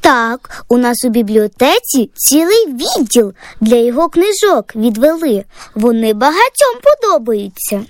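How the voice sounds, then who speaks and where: A woman voicing an excited, proud young schoolgirl in a children's radio story. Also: fairly high pitch, measured pace, no echo.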